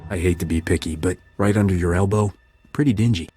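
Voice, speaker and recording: A wisecracking smuggler captain in a game, kinda low, cocky, music behind. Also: male voice, quick pace, dark tone, slightly rough. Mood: wry, breezy. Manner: wry, flippant. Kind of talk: video game character acting. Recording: music under the voice.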